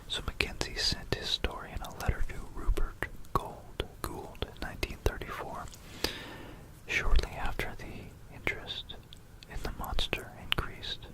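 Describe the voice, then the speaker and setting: An ASMR narrator speaks entirely in a breathy, unvoiced whisper. The delivery is a hesitant, meandering history talk, with phrases trailing off and repeated. It is captured close on a binaural microphone. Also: a little slow, rough recording.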